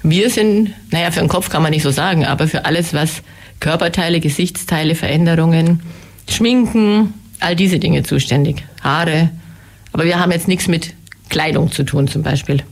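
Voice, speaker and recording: Woman, theater makeup head; husky and gravelly, hoarse from a cold, matter-of-fact explainer. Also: deep voice, normal speed. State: has a cold, slightly hoarse. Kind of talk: casual interview talk.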